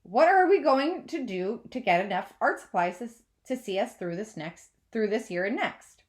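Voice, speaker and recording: A woman's voice: medium pitch, lively intonation, normal speed, natural voice. Woman reading as an earnest male art teacher. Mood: encouraging. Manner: explanatory, encouraging. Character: earnest, encouraging male art teacher addressing his class. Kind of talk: children's novel read-aloud.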